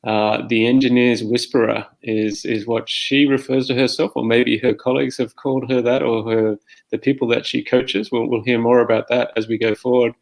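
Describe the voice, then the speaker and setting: A man's voice: mid-range voice, flat delivery, moderate pace, vocal fry, rough recording. A middle-aged man with an Australian accent hosting an unscripted podcast, explaining things in an informal, rambling way. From Armidale, New South Wales, Australia. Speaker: podcast host. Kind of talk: unscripted conversational introduction.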